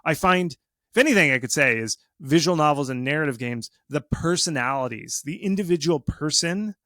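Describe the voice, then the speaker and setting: A man giving casual, animated explanations as an expert guest in an interview, speaking informally and with emphasis. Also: on the high side, normal speed, no echo, studio quality. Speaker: video game marketing expert, interview guest.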